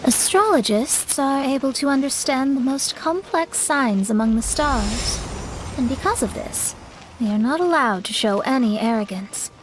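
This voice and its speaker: A woman voicing a proud, defensive young female astrologer in a video game, expressive and slightly grand. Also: on the high side, noisy background, close to an everyday voice.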